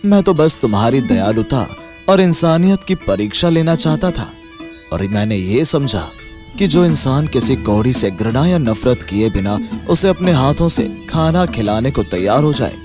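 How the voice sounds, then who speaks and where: Man as a solemn, mid-pitched serpent spirit. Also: sing-song, normal speed, lightly acted. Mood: solemn, approving. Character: benevolent shape-shifting snake spirit in young man's form. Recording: clear background music under voice.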